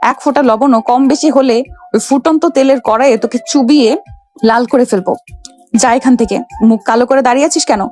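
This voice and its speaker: Actress doing snooty rich boss girl, highish voice, bossy n threatening, audio story w music behind. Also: a little fast, a little mellow, close to an everyday voice. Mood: mocking, bossy. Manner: scolding, imperious, threatening. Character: haughty, cruel young rich woman boss berating her servant. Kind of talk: Bengali audio story acting. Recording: background music under the voice.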